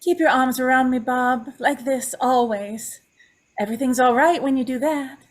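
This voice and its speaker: A woman's voice: high voice, steady tone, moderate pace. A woman playing a troubled, pleading young woman in an old-time radio drama, speaking hesitantly and with emotion. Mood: tender, uneasy. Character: distraught young condemned woman confessing to dead mother.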